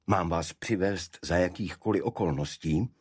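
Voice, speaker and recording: Man voicing a husky, formal burly escort. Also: low voice, dry recording, studio quality. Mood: curt, firm. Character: tall, burly, firm official escort man.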